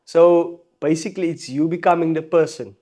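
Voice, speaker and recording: South African male musician guest, emphatic podcast talker, slightly higher voice. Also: normal speed. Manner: animated, emphatic, repeats phrases, laughs often. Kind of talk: casual podcast conversation.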